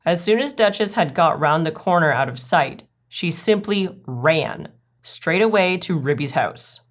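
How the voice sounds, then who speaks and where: Low-voiced woman reading a story. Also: lively intonation, a little slow. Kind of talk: scripted children's story narration, read aloud.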